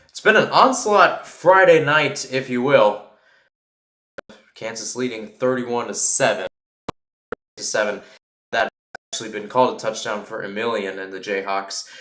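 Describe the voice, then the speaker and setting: A man's voice: mid-range voice, a bit bright. A man giving live American football play-by-play, talking conversationally and thinking aloud between plays. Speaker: play-by-play sports commentator. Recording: roomy, echoing sound.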